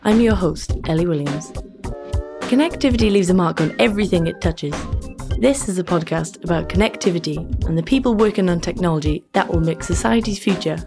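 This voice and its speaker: A woman hosting a podcast, reading scripted narration in a smooth, measured way. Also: lowish voice. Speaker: podcast host. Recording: music bed underneath.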